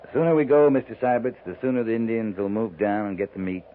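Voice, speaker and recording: Guy acting as deep grave american cavalry captain, terse and worn out, old muffled noisy radio western. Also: fairly even intonation, lightly acted. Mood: weary resolve. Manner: terse, deliberate, commanding. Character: grave, world-weary male US cavalry captain on the frontier.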